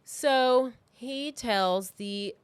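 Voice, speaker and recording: A woman's voice: on the high side, drawn-out words, clean tone. A woman co-hosting a true crime podcast, telling the story in a casual, loosely scripted way with wry asides.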